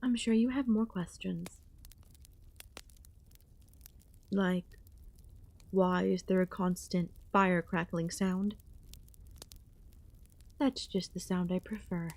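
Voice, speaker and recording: A woman playing an ancient, possessive, lonely goddess queen in an ASMR audio roleplay, speaking in a hushed, intimate way with pauses. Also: fairly low pitch, fairly even intonation, vocal fry, heavily acted. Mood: nostalgic.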